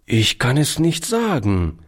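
A man voicing a gentle, humble old shopkeeper grandfather in an audiobook, in a mild, halting, earnest manner. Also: medium pitch, wildly swooping pitch, breathy edge, exaggerated voice. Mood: embarrassed, halting. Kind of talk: audiobook character voice.

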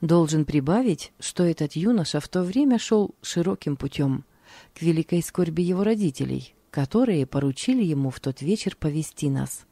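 Low, mellow woman reading calmly. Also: moderate pace, dry recording. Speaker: narrator. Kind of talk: scripted reading of a religious diary.

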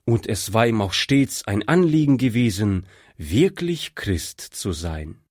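Slow husky male storyteller. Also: on the low side, very animated, darkish tone, no room sound, clean studio sound. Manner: slow, measured, devout storytelling. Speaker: narrator. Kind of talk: scripted audiobook narration.

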